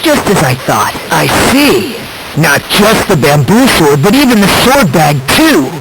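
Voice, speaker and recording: High-pitched teenage boy, swooping intonation, falsely modest, needling, calm, video game dialogue. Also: a little slow. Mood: smugly analytical. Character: polite, eerily calm, self-deprecating, needling teenage boy. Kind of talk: video game voice acting, courtroom mystery dialogue. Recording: noisy compressed handheld game audio.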